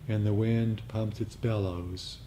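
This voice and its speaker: A man leading a meditation group, speaking in a measured way, phrase by phrase. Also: lowish voice, fairly even intonation, warm tone, slight background noise. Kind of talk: poem reading and gentle group instructions.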